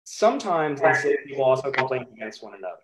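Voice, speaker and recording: A man in his thirties with an American accent, giving a measured, emphatic academic talk over a video call, with occasional ums. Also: medium pitch, sing-song, a little hiss or hum, rough recording. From United States. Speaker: historian presenting his book.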